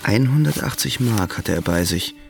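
An actor playing the sober third-person narrator of a radio drama, reading scripted storytelling. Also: male voice, on the low side, animated, moderate pace, gravelly, music in the background. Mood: sober, narrating.

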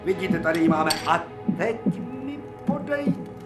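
An actor playing a domineering older surgeon professor who barks curt, impatient orders in a radio play. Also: male voice, high-pitched, animated, loud music behind the voice. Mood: commanding.

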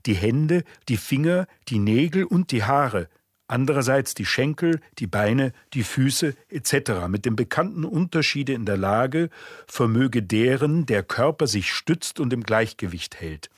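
A man in a radio play reciting an old natural-philosophy treatise in a solemn, measured, formal way. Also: mid-range voice, expressive, no room sound, studio quality. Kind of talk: scripted literary reading in a radio drama.

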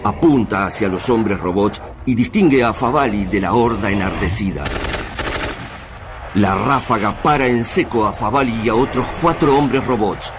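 Deep, dramatic Argentine male narrator. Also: normal speed, background noise. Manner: intense, literary, dramatic phrasing. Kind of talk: dramatic scripted radio narration.

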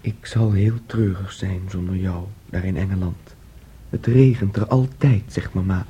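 An actor in a Dutch radio play playing a gentle, childlike, dreamy young man with a soft, breathy voice and naive manner. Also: sits low, fairly even intonation, a little slow, highs cut off, exaggerated voice. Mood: melancholy. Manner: boyish, gentle, naively imaginative. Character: Thomas, soft, childlike, dreamy, easily swayed young brother.

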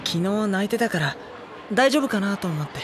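Polite soft-spoken high school boy, earnest worrier, audio drama, music under it. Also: animated, a little fast. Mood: concerned. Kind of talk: Japanese audio drama acting with introspective narration.

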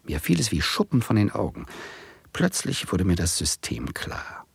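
Nervous small-time speculator, husky low voice. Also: male voice, huge pitch swings, moderate pace, dry recording, exaggerated voice. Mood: dry, ironic. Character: nervous amateur male cocoa speculator telling his story. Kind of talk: 1970s German radio play narration and dialogue.

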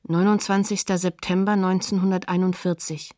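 An actress as a neutral announcer, naming witnesses and sources in a scripted radio documentary. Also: female voice, lowish voice, little pitch movement, normal speed, darkish tone, no room sound, clean studio sound, natural voice. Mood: neutral, factual.

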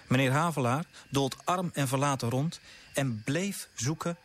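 Radio drama narrator: actor as male storyteller, mid-pitched, scripted delivery, faint noise behind. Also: a little slow, lightly acted. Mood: matter-of-fact. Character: male storyteller recounting a man's fruitless petitions.

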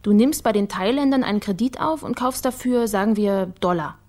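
Female investigator for radio crime drama played by an actress: mid-range voice, clear-headed, matter-of-fact, methodical. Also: medium pitch, brisk, darkish tone, lightly acted. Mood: confident explaining. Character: sharp, methodical woman investigator working for the prosecutor. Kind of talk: naturalistic German radio crime drama acting.

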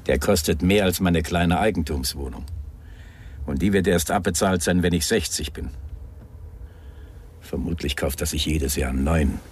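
An actor in a German radio crime drama playing a gruff, weary, wry police inspector with a dry, grumbling, bossy manner. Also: male voice, sits low, normal speed, pauses often, a little hiss or hum, natural voice.

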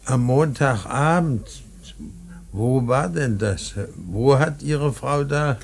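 A man's voice: medium pitch, takes it slowly, gravelly. A man playing a grave, brooding older professor in a radio drama, giving terse orders and questions. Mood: probing. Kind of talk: old German radio drama acting.

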